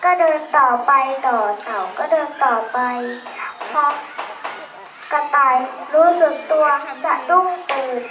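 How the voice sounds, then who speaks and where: A young girl with a very high voice recites a children's fable in an echoey room. She goes slowly and deliberately, as if from memory, addressing listeners with some noise around her. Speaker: storyteller. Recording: echoey room, distant ambient sound.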